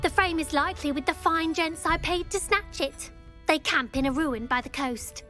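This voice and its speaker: A woman's voice: high-pitched, normal speed, pushed and bright, faint music behind. A woman playing a brazen, scornful teenage schoolgirl troublemaker in a video game, with a British accent and a wry, mocking manner. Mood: defiant, scornful. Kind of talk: video game character acting.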